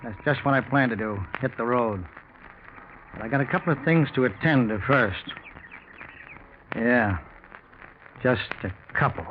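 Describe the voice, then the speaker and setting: Casting: male actor as secretive drifter, medium pitch, offhand and clipped, vintage radio drama, muffled sound. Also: unhurried, long pauses, background noise, natural voice. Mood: quietly scheming. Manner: terse, offhand, noncommittal. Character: guarded, quietly scheming man passing as a bum. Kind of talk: 1940s radio acting.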